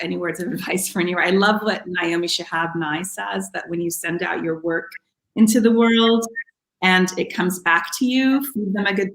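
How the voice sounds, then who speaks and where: A woman's voice: lowish voice, a bit bright. Earnest woman in an echoey room. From Kuwait. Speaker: poet guest. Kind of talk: casual Q&A answers.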